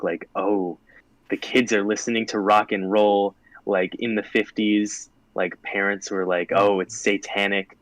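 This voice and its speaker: A young man with a lowish voice, casually walking through songs and their history. His talk is loose and full of "like", and the recording sounds dry and close. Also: dry recording, low-quality recording. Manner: relaxed and chatty, lots of "like". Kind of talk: casual explaining on a live stream.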